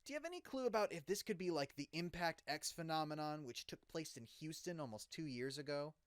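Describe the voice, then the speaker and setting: A man's voice: a little high, expressive, brisk, clean tone, no echo, studio quality, natural voice. A man playing an incredulous, urgent radio news host in a dramatized live broadcast. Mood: urgent, probing.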